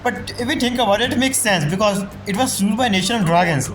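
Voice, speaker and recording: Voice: mid-range voice, fast. A game streamer casually reacting to the story and reading dialogue choices aloud. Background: game music.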